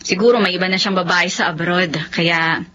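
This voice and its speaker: An actress playing a polite, kind, devoted young Filipino aunt in a Tagalog radio drama. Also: female voice, lowish voice, steady tone, moderate pace, bright, forceful tone. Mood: affectionate, resolute. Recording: muffled, faintly noisy radio drama audio.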